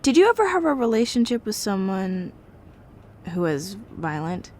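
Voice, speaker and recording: A woman playing a gently curious interviewer in an audio drama. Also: mid-range voice, big pitch swings, normal speed, slight background noise, natural voice.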